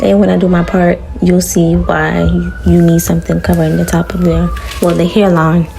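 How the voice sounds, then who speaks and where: A young woman with a raspy voice chatting casually to viewers as she styles her hair, relaxed and full of slang, in a small room. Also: on the low side, moderate pace, noisy background. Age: young adult. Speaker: beauty vlogger. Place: small room before a green screen.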